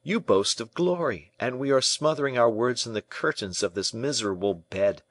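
A man's voice: mid-range voice, lively intonation, moderate pace, no room sound, close to an everyday voice. A man reading a storybook part as a young imprisoned prince, proud, embittered and hot-blooded, in formal archaic speech. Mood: impassioned reproach. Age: young adult. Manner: formal archaic speech, rhetorical questions, impassioned.

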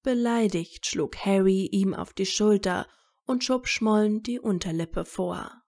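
A woman's voice: mid-range voice, measured pace, a little mellow. A woman narrating a scripted story as an audiobook reader.

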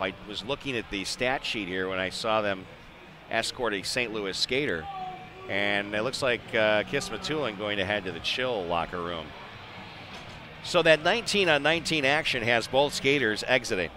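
A man's voice: fairly low pitch, moderate pace. An American man calling live ice hockey play-by-play in a conversational way, with short clipped phrases. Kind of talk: live sports commentary. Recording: live arena broadcast with clear background noise.

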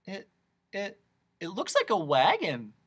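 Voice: medium pitch, very animated, a little slow, a bit bright, slightly rough, heavily acted. A narrator voicing a timid, curious young boy in a storybook, polite and hesitant in manner. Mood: excited. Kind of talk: children's audiobook character voice.

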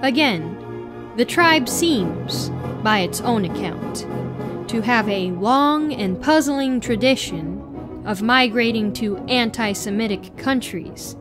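Female reader, fairly high voice, very slow deliberate article narration over clear background music. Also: expressive. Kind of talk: reading an article aloud.

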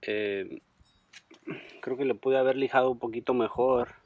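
A man, chatting casually as he does a repair, relaxed and self-deprecating, with halting restarts. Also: mid-range voice, no room sound, dull audio. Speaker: trucker vlogger. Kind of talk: casual DIY commentary. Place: outdoors in a driveway by a garage.

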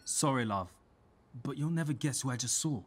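An actor playing an amiable, forgetful, earnest British husband in a naturalistic radio soap. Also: male voice, mid-range voice, expressive, normal speed, background noise, natural voice. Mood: earnest, insistent.

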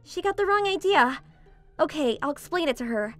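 A woman's voice: sits high, lively intonation, normal speed, a bit bright, faint music behind. A woman playing a sweet, caring and easily flustered teenage schoolgirl in a manga dub, cutesy and stammering. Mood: caring, insistent. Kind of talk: manga dub voice acting, scripted.

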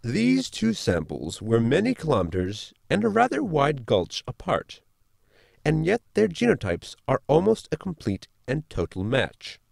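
A man playing a pedantic, eccentric science officer, formal and polite, with a creaky voice, in a sci-fi comedy audio drama. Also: medium pitch, huge pitch swings, a little slow, a little mellow, dry recording, clean studio sound, full character voice. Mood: precise.

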